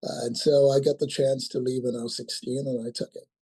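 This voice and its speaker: A man around sixty, from Nigeria, talking as an interview guest and often pausing with ums and restarts. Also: mid-range voice, level tone, dark tone, husky, poor audio. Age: about 60. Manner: hesitant, many ums and restarts. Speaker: guest, company founder. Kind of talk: casual interview talk.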